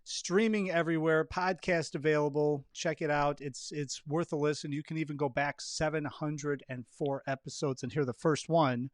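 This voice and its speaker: A man with a slightly higher-pitched voice hosts a podcast in loose, casual conversation. He is talkative and emphatic, often doubling back on phrases to stress them, and the sound around his voice is very dry. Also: fairly even intonation, normal speed, hardly any pauses, dry recording. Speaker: podcast host. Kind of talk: casual interview chat.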